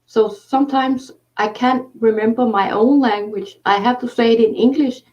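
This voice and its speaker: A woman host with a Danish accent, talking in halting casual conversation with many fillers. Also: on the high side, a little mellow. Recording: distant, dull lo-fi sound.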